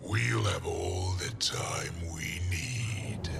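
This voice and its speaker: A man playing a brutal, vengeful warlord emperor in a video game cutscene, with a gravelly growl and an imperious, menacing manner. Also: deep voice, drawn-out words, very noisy, full character voice. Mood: gloating.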